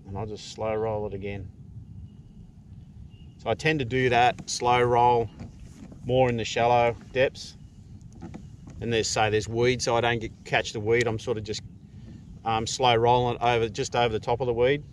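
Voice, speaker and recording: A middle-aged man with an Australian accent explains his fishing technique in a casual, unhurried way. His voice sits at an ordinary male pitch. He is recorded outdoors on a kayak by an action camera, with noticeable noise behind him. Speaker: fishing vlogger. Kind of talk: casual tutorial chat while fishing. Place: outdoors in a kayak on open water.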